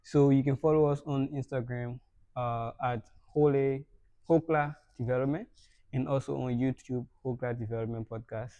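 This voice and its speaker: A man's voice: medium pitch, steady tone, normal speed, warm tone, dry recording, lo-fi sound. A young man hosting a podcast interview in a casual way. He laughs often and repeats words when he starts a sentence. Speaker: podcast host.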